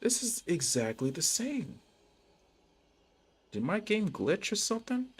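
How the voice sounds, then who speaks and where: A man's voice: high voice, big pitch swings, a little slow, breathy edge. A man giving casual, off-the-cuff livestream commentary as he plays a video game. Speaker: game streamer. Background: faint game music.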